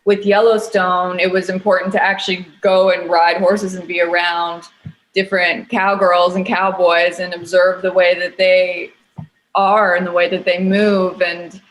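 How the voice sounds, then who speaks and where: A woman's voice: fairly low pitch, level tone, a little slow, rough recording. A woman actor chatting casually on a panel in a light, easygoing and playful way.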